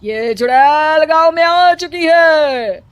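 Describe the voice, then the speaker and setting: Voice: sits high, slow, no room sound. A performer voicing a scheming, bossy village headman who scolds and threatens villagers in a storybook cartoon. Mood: scolding. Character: scheming middle-aged male village headman.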